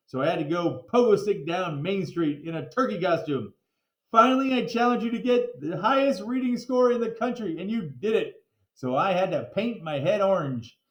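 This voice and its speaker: Man reading as a high-pitched, encouraging school principal. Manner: rhetorical, repetitive speech-making. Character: male school principal addressing second graders, encouraging, speechifying. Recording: roomy, echoey sound.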